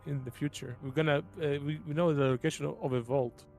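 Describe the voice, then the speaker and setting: A man playing a tabletop game, chatting casually and thinking aloud in a halting way. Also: a little high, fairly even intonation, darkish tone, soft background music. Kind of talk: casual chat.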